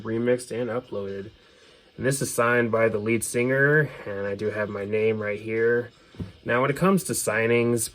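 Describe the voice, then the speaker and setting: A man with a fairly low voice talks casually as a video host, in an unscripted, offhand way that softens each opinion. There is some noticeable background noise in the recording. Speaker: video host showing a music CD collection. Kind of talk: casual unscripted collection commentary.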